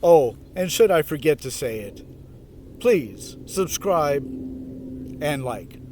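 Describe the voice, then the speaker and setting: A man reading aloud and adding informal reflections in a halting, wry, laid-back way, as host of a meditation video. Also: a little high, sing-song, dark tone, slight background noise. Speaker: self-styled priest, meditation video host. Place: outdoors in a park.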